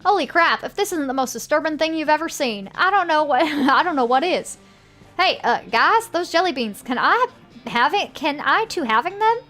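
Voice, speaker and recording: A woman's voice: sits high, animated, normal speed, bright, forceful tone, soft background music. A woman with a put-on Southern US accent, casually commenting on a game and reading its text aloud. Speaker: game streamer.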